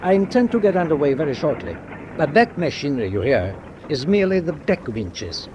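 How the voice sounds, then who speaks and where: An actor playing an impatient, evasive German tanker captain in a wartime radio drama, brusque and used to giving orders. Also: male voice, fairly high pitch, very animated, fairly quick, highs cut off. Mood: evasive politeness. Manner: brusque, commanding, insistent. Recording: noisy audio with ship ambience behind.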